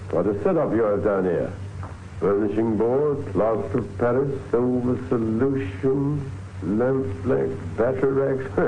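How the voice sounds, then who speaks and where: Suspicious british copper in an old radio play, polite but firm, tinny hissy sound. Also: male voice, medium pitch. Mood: smugly triumphant. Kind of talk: old radio drama acting.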